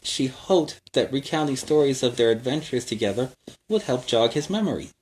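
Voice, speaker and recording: A man's voice: medium pitch, expressive, moderate pace, a little mellow, slight background noise, poor audio. A man hosting a children's story time, narrating a storybook with chatty asides. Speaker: children's story time host in superhero character.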